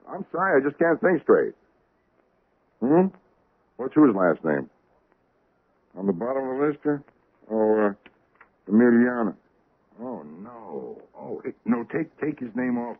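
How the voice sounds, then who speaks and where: A flustered hotel owner. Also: male voice, medium pitch, slightly rough. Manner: flustered, stammering. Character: anxious middle-aged male hotel owner. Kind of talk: 1970s radio drama acting. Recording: lo-fi old radio broadcast.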